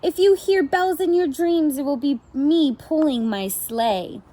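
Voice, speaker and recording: A woman's voice: high-pitched, measured pace, dark tone. A woman voicing a friendly male farm pony from a storybook, kindly and reassuring.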